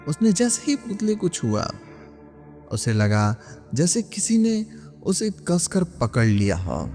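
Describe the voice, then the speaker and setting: A man narrating a scripted horror story in a suspenseful, dramatic way with deliberate pauses. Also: mid-range voice, warm tone. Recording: long cavernous reverb, music underneath. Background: background music.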